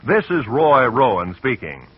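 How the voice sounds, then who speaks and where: A male radio announcer reading credits and promos in a crisp, formal broadcast manner, on an old lo-fi broadcast. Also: mid-range voice, very animated, measured pace, no room sound.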